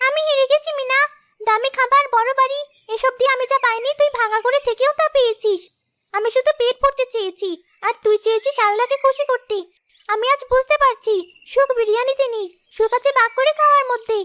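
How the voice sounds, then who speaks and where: A woman's voice: extremely high pitch, fairly even intonation, brisk, sharp tone, no room sound. A woman voicing an earnest young schoolgirl in a cartoon story, with an emotive, scripted delivery. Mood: remorseful. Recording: music behind the voice.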